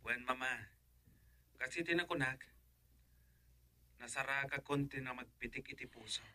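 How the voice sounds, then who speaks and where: Lovestruck Filipino son, close dry sound. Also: male voice, medium pitch, moderate pace, pushed and bright, no echo. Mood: earnest, reassuring. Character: adult son in love, eager to marry, reassuring his mother. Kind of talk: radio drama acting.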